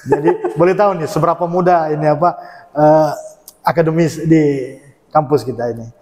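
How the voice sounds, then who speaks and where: A man's voice: fairly high pitch, fairly even intonation, normal speed, darkish tone, big-room sound, low-quality recording. A man around forty hosting an interview, asking questions and often repeating his guest's words back. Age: about 40. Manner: frequent short affirmations, repeats the guest's words. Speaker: interviewer host.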